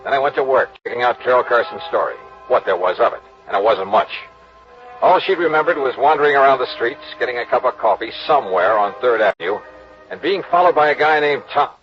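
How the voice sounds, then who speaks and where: A dull, old radio recording carries a male actor as a tough insurance investigator. He is American, with a mid-pitched voice. His delivery is clipped, wisecracking and pressing, in 1950s detective drama style. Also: expressive, quick pace, soft background music, close to an everyday voice. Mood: wry narration. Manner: clipped, hard-boiled, pressing.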